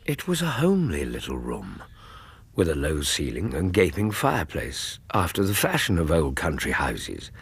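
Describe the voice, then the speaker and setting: An actor playing a loyal, observant Victorian gentleman who narrates a radio drama in an English accent, with a measured, literary storytelling manner. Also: extremely low pitch, wildly swooping pitch, vocal fry, husky, full character voice. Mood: measured, descriptive.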